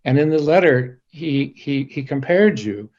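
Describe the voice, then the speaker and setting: A man moderating a panel, putting measured questions in careful, earnest phrasing. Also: a little high, animated, darkish tone, lo-fi sound.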